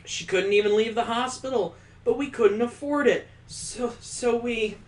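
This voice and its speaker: Brief: male reader doing a frantic, stammering mother, high and pleading, echoey room. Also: measured pace, a little mellow, lightly acted. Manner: pleading, stammering, breaking into high-pitched wails. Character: terrified middle-aged mother begging for her life. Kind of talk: visual novel read-aloud voicing. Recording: roomy room echo.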